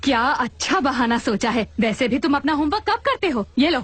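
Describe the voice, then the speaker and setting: High, husky bossy cartoon mother. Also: sing-song, no echo, heavily acted. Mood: sarcastic. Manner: brisk, sarcastic. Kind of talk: Hindi cartoon dub acting.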